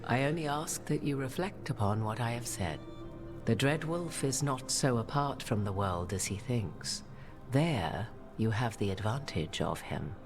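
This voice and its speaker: A woman voicing a proud, cryptic witch in a video game, with arch, formal, measured phrasing. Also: extremely low pitch, animated, over music, exaggerated voice. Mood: arch, cautioning. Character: worldly, composed witch advising a hero, proud and cryptic. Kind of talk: dramatic video game acting.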